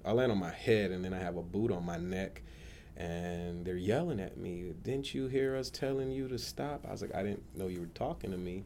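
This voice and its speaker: Deep-voiced man telling his personal story. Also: moderate pace, a little mellow, slight background noise. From United States, Atlanta area. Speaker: public defender sharing personal story. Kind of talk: personal testimony, autobiographical storytelling.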